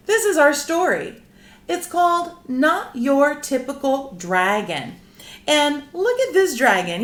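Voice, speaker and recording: A woman teacher leading a read-aloud lesson for children, animated and putting simple questions to her listeners. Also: a little high. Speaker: teacher of a young class. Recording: roomy, echoing indoor sound.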